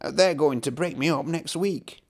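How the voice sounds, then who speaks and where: A man voicing a gentle, wistful old traction engine in a children's storybook, speaking plaintively and earnestly. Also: a little high, moderate pace, voice pushed up. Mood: sad. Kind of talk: children's storybook character reading.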